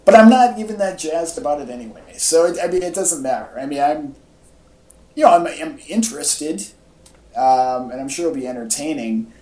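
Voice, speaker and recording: A podcast co-host with a mid-pitched voice talks in a casual, opinionated way. The thoughts ramble, with repeated and restarted phrases. The voice sounds set back from the mic in an echoey home recording. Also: expressive, normal speed, a little mellow, far from the mic. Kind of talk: casual movie chat, opinionated commentary.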